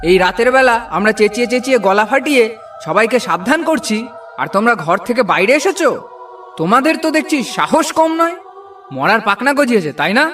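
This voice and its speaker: Guy doing alarmed village watchman for a cartoon, super high sharp voice, scolding, warning everyone. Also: expressive, brisk, recorded from a distance. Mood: stern scolding. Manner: scolding, loud, repetitive warning calls. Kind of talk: cartoon voice acting.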